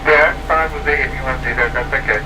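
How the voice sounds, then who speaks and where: A man's voice: mid-range voice, steady tone, fast talker. Man chatting over noisy, distant CB radio. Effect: CB radio transmission. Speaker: amateur CB radio operator. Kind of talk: casual chat over CB radio.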